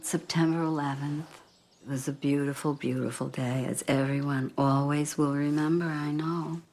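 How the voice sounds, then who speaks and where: An older woman speaking in a measured, deliberate and plain-spoken way in a reflective documentary interview. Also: low-pitched, fairly even intonation, a little mellow. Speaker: bereaved mother giving testimony.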